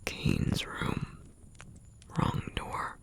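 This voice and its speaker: A man playing a tense, guarded younger demon noble in an audio roleplay, speaking haltingly in a low, breathy voice. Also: in a whisper. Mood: angry, shaken.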